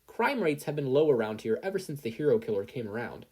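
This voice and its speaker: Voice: mid-range voice, sing-song, quick pace, mellow, breathy edge. A performer voicing an assured, easygoing female superhero mentor with a teasing manner in an audio story. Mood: breezy.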